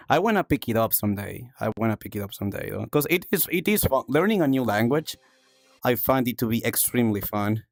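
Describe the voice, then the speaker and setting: A man's voice: medium pitch, expressive, a little fast, vocal fry, no echo, studio quality. A man from South America hosting a livestream, chatting casually with viewers, thanking them and repeating himself, while coughing from chest congestion. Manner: upbeat, repeats phrases, thanks viewers warmly. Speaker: streamer and talk show host.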